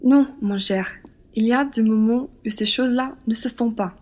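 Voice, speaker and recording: A woman's voice: a little high, moderate pace, darkish tone, natural voice. A woman playing a proud, defiant young Frenchwoman in an amateur radio play, blunt and indignant. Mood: rebuffing, indignant.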